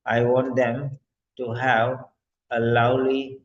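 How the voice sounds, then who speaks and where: Frail ninety-year-old client, as a man reads him out, slow halting phrases, dull lo-fi sound. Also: mid-range voice, completely flat delivery, slight background noise, close to an everyday voice.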